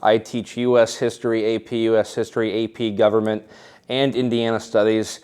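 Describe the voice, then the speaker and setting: A man's voice: fairly low pitch, flat delivery, moderate pace, a little mellow, smooth. A young adult man from Colorado, a teacher, answering interview questions in an easygoing, plain-spoken way. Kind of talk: casual interview.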